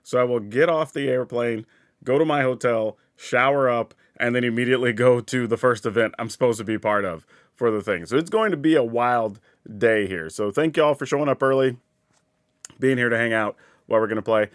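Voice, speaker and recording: A stuffy-nosed man talks through a live stream in an easygoing, chatty way, thinking aloud as he plays. His voice sits at an ordinary middle pitch. The sound is dry and close, with no room around it. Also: a little fast, no room sound. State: congested from a sinus cold. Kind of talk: casual live-stream chat while playing.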